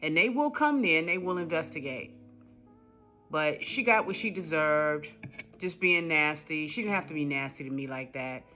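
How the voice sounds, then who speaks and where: A woman's voice: low voice, lively intonation, normal speed, bright, forceful tone, faint music behind. A woman telling her complaint in a casual monologue, indignant and repetitive, hammering her points. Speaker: customer telling her story.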